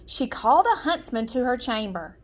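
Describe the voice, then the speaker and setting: A woman reading a children's fairy tale aloud, with expressive, deliberate, dramatic storytelling. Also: fairly high pitch. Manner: measured, dramatic, deliberate phrasing.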